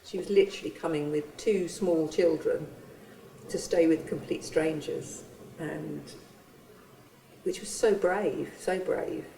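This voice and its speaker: A woman earnestly recounting her experience of hosting, pausing and restarting as she goes. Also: deep voice, gravelly, mellow, slight background noise. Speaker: church member and refugee host. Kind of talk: reflective testimony. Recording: roomy, from a distance.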